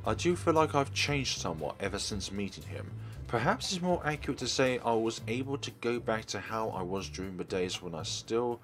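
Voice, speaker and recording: Man reading as a regretful old man, low-voiced. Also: expressive, a little fast, fairly bright tone, faint music behind. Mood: wistful, regretful. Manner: formal, polite phrasing. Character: regretful, self-deprecating old man who died alone. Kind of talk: reading visual novel dialogue aloud.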